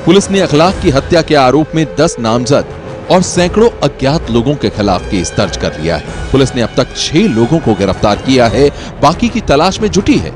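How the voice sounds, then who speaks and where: A man narrating a crime news report from a script in an emphatic, theatrical way. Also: medium pitch. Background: background music.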